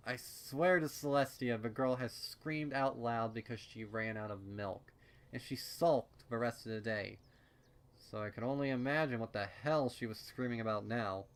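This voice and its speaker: A man's voice: mid-range voice, fairly bright tone, clean tone. A man voicing a chatty, nervous young female pony florist who narrates her story with rambling, stammering asides. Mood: flustered, exasperated. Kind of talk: first-person storybook narration in character.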